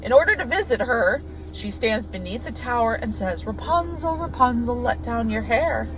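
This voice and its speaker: A woman's voice: fairly high pitch, lively intonation, normal speed, fairly bright tone, low-quality recording. A middle-aged woman co-hosting, bemused and questioning as she chats casually and reads aloud. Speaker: co-host.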